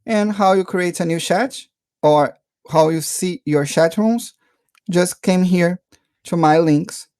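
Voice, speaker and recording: A man narrating a casual software tutorial, explaining step by step in a halting way and checking in often. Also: high voice, smooth, no room sound, studio quality. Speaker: software reviewer and tutorial presenter.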